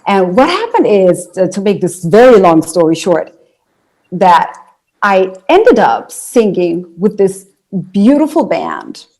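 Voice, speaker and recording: A woman from Ethiopia whose first language is Amharic, telling her story as an interview guest in a relaxed, conversational way. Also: mid-range voice, takes it slowly. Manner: storytelling, with restarts and fillers. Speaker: interview guest, singer and speaker.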